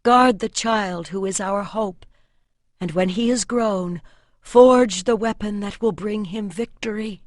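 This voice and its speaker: A woman's voice: medium pitch, slow, no room sound, lightly acted. A solemn priestess of an ancient land, as a woman narrator voices her, speaks as if in ritual. Her delivery is formal, ceremonial and incantatory. It is a fantasy audiobook with a very dry sound. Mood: reverent request.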